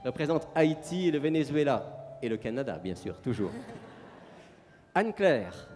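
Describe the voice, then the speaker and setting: A man from France introducing members of a vocal group to a church congregation, in a friendly, halting way with fillers. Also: medium pitch, big pitch swings, darkish tone. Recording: long church hall reverb, music behind.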